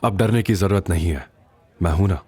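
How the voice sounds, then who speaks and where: A man's voice: sits low, a little fast, a little mellow, slightly rough. A man voicing a fierce, protective young hero with a cocky, threatening manner in an audio drama. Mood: reassuring.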